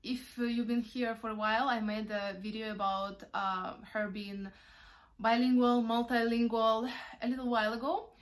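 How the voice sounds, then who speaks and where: A woman's voice: fairly high pitch, flat delivery, normal speed. A young woman from Ukraine, a native Russian speaker, chatting casually as a vlogger and mother, answering questions with lots of ums and uhs. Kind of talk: casual Q&A while wrapping gifts.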